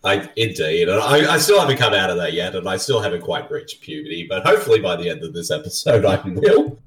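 A man's voice: low-pitched, sing-song, quick pace, fairly bright tone. Aussie guy hosting a podcast, bouncy up and down voice, kinda far from the mic. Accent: Australian. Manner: jokey, chatty, laughs often. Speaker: podcast host. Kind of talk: casual podcast chat. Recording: roomy sound from a distant mic.

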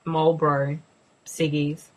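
A woman's voice: sits low, little pitch movement, takes it slowly, low-quality recording. A young woman casually co-hosting a movie commentary, joking, sarcastic and swearing freely. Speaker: co-host.

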